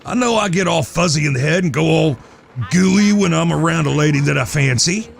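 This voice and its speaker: A man as a gravelly, folksy cowboy big brother. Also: on the high side, a little fast. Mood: folksy ribbing. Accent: American Western drawl. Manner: folksy, wry, ribbing. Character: folksy teasing older cowboy brother, leader of the trio. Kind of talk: audio drama acting, Old West dialogue.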